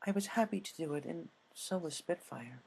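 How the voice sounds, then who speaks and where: A man's voice: fairly high pitch, animated, moderate pace, gravelly, husky, voice pushed up, heavily acted. A man voicing a refined, doting female pony in a story reading. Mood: tender, pleased.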